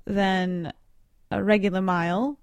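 A woman's voice: mid-range voice, steady tone, takes it slowly, clean tone, no room sound. A woman putting on a British accent while co-hosting a podcast and reading listener messages in a composed manner. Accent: put-on British.

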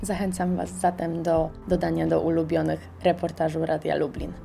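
A woman radio host reading a scripted podcast recommendation at an even, measured pace. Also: low-pitched, creaky, faint music behind.

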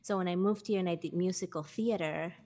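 A woman from Peru answers questions in a fairly low voice. She sounds earnest and reflective as she talks about her path into children's entertainment. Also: fairly quick, warm tone. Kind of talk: interview answers.

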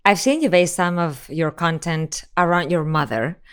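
Woman interviewer, lowish smooth voice, playful questions, laughs often. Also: moderate pace. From Spain, Mexico, Miami and Venezuelan mix. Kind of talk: casual interview chat.

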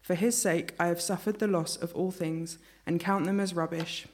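A woman's voice: fairly low pitch, moderate pace, slight background noise. Woman reading scripture at church. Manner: steady, earnest reading. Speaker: scripture reader. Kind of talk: reading a Bible passage aloud. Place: church service. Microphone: church microphone.

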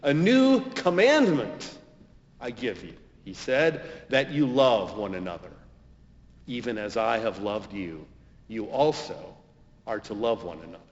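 An American man preaching a homily as a pastor, in measured, deliberate phrases with emphatic stresses and pauses. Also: lowish voice, sing-song, slight background noise. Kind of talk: sermon, homily. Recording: dull, reverberant hall recording.

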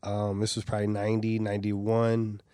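A middle-aged man from San Francisco, talking as a guest in a relaxed interview, loose and profane with lots of "like" and "you know.". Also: fairly low pitch, moderate pace, smooth, dry recording. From San Francisco, USA. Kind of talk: casual interview chat.

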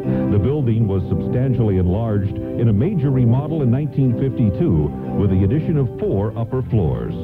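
A male announcer reads scripted radio narration with very varied intonation. The sound is narrow and tinny, like an old broadcast, with loud music behind him. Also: fairly low pitch. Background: prominent music.

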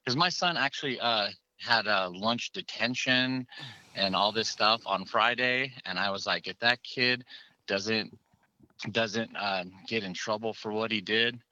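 A father calling in to a show and telling his story in an earnest, halting way. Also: lowish voice, bright, forceful tone, no echo. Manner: earnest, halting, repeats words. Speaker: caller, parent. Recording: muffled phone line.

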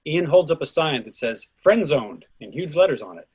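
Husky man reading stage directions. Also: fairly high pitch, very animated, moderate pace, a little mellow. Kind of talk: scripted stage-direction narration.

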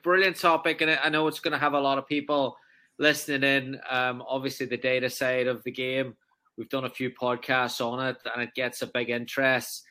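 A man hosting a podcast, introducing his guest and asking questions with quick enthusiasm. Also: mid-range voice, flat delivery, sharp tone. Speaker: podcast host. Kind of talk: podcast interview.

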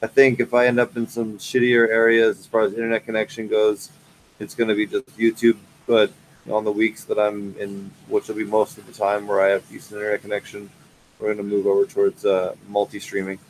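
A man's voice: on the low side, monotone, brisk, slight background noise, poor audio. A man hosting a casual podcast, explaining technical details step by step from a large indoor grow facility. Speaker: podcast host.

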